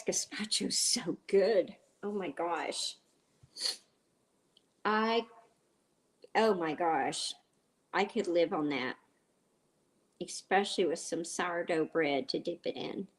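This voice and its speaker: A middle-aged woman with a raspy voice from a cold, chatting in a rambling, easygoing way as she hosts a cooking livestream and answers viewers. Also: medium pitch. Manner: chatty and rambling, reads viewer comments aloud. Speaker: livestream host. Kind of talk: casual cooking livestream chat.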